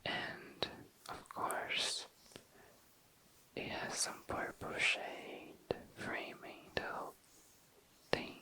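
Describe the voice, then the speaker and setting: ASMR presenter: breathy whisper throughout, very sharp and bright, soft lingering show-and-tell delivery. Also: low-quality recording. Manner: slow, lingering, repeats words softly. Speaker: ASMR video creator.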